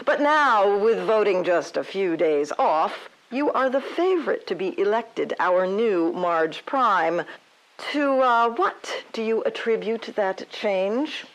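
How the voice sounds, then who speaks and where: A woman playing a dry, patient investigator in a comedic audio drama, with a deadpan, measured, official manner. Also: medium pitch, poor audio. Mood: dry, probing. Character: dry, patient female investigator questioning a suspect.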